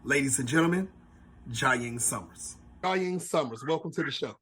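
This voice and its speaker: Husky-voiced hype podcast host man. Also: mid-range voice, lively intonation, normal speed, a bit bright. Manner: hype host, loose slangy talk. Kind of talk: podcast interview.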